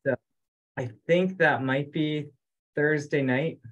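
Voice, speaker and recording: A man talking casually as an organizer on an online group call, halting and repeating himself as he goes. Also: a little high, fairly even intonation, a bit bright, lo-fi sound. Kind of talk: casual meeting chat. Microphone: online conference call.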